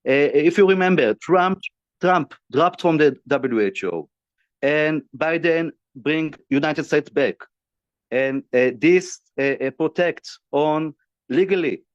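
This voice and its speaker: A man's voice: a little high, dry recording, lo-fi sound. A man from Israel making an urgent appeal in broken English, with many hesitations and fillers. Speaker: activist speaker. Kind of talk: impassioned appeal in halting English.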